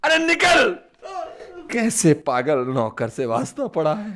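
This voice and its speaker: A high-pitched man's voice as a sick, fed-up master in a radio play. He groans and protests irritably, short of patience with everyone around him. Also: huge pitch swings, takes it slowly, bright, forceful tone, exaggerated voice. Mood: irritated. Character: exasperated sick man fussed over by friends, short-tempered master. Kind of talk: Hindi radio play acting.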